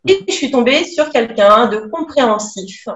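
A young woman talking over a video call, unhurried and hesitant, stopping often to restart. Her laptop mic catches a roomy echo and muffled, lo-fi sound. Faint music plays underneath. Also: on the high side, animated, fairly bright tone. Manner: hesitant, many fillers and restarts. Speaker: interview guest. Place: small room indoors. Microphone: laptop webcam mic on video call.

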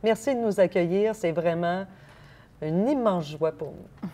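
A woman's voice: on the low side, measured pace, warm tone. A woman in her fifties with a Quebec French accent, hosting and conducting a sit-down interview. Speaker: interviewer, host. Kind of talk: presenting and interviewing. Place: empty small theatre hall, indoors.

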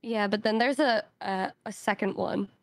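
A woman's voice: mid-range voice, steady tone, normal speed, no room sound. A woman chatting casually as a co-streamer, giggly and mock-exasperated in her reactions. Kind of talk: casual chat. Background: soft game music.

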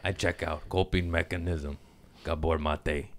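A man's voice: very deep voice, steady tone, a little slow. A man in his thirties hosting a casual comedy podcast, swearing freely and wandering into goofy asides, speaking into a studio mic. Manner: profane, rambling, goofy asides. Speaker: podcast host. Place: studio set with hedge wall. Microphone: studio mic on boom arm, headphones.

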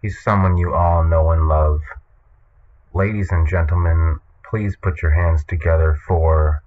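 Very deep-voiced, deadpan man hosting a podcast. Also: a little slow, muffled recording. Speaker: podcast host. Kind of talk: casual comedic podcast talk. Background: music.

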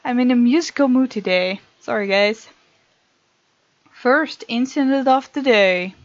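A woman reads a visual novel aloud as a let's play host, dropping in casual remarks. Her voice sits fairly high and her manner is playful and expressive. The close-mic sound is dry and a little dull. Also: unhurried, no room sound. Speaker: gaming video host. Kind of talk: let's play, reading game text aloud with casual asides.

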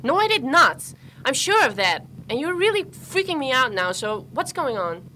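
A woman acting an expressive, wary and outspoken young woman in radio play dialogue. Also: sits high, moderate pace, a little hiss or hum, exaggerated voice, rough recording. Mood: alarmed, demanding.

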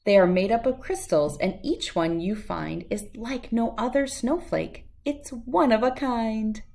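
A middle-aged woman reads a rhyming children's picture book aloud in a measured, sing-song way. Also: fairly high pitch, gravelly, dark tone.

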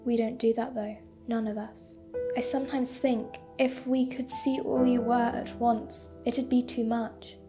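A woman playing a perceptive, supportive young female companion in an audio drama, gently probing. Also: fairly high pitch, flat delivery, unhurried, over music, close to an everyday voice. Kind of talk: fan audio drama acting.

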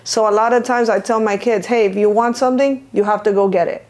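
A woman's voice: medium pitch, steady tone, fast talker, a little mellow. A middle-aged woman from Venezuela co-hosting a podcast, telling stories earnestly in a casual chat and backing up her partner often. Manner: frequent "yeah" and "mm-hmm" backchannels, earnest storytelling. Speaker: podcast co-host.

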